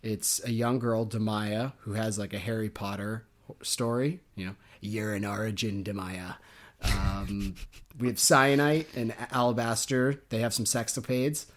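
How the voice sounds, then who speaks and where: A man's voice: on the low side, unhurried. A young man co-hosting a book podcast, chatting casually and jokily, laughing often. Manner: jokey and animated, laughs often. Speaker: podcast co-host.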